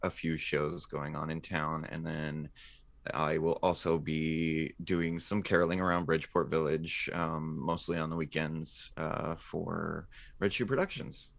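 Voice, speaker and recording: Man, low voice, slow pace, dry close sound; relaxed chat with a ready giggle. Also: gravelly, no room sound. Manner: giggly, chuckles while talking. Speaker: actor and podcast cast member. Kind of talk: casual live podcast chat.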